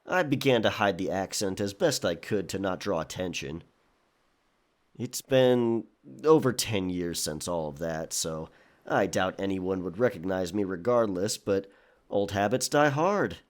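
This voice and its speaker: A man playing a world-weary young pirate with a disgraced past in an audio drama, speaking in a halting, wry way. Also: low-pitched, expressive. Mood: resigned.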